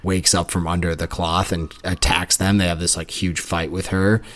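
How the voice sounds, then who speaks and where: A man's voice: sits low, level tone, normal speed, fairly bright tone. A man co-hosting a casual book club podcast, laid-back and jokey, slipping into folksy voices now and then. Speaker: podcast co-host.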